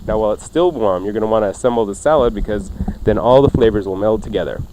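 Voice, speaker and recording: A man's voice: lowish voice, fast. Jokey man hosting a cooking show, noisy recording. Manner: jokey, informal banter. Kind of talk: casual radio cooking chat.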